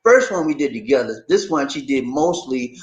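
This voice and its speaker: Casual host in an echoey room. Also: male voice, medium pitch, expressive, normal speed. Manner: loose, repetitive, talks to viewers directly. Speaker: channel host reading viewer chat. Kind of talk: casual live-stream chat.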